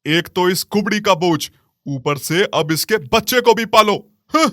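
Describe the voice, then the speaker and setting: A man's voice: high voice, animated, measured pace, sharp tone, no room sound, clean studio sound, exaggerated voice. A man playing a greedy, callous husband in a cartoon moral tale, smug and scheming. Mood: resentful. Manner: smug, scheming, with villainous hums.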